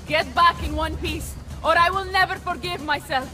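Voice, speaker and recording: Fiery, sardonic Italian noblewoman warrior, game drama; high-pitched, commanding, loud score underneath. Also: moderate pace. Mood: urgent. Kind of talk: dramatic video game acting. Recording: loud music over the voice.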